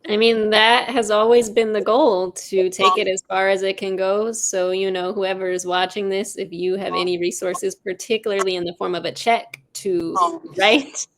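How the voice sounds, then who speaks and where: Creaky-voiced woman in a casual interview. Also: mid-range voice, fairly even intonation, moderate pace, a bit bright. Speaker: audio drama creator and director, guest. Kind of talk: casual conversational interview answer.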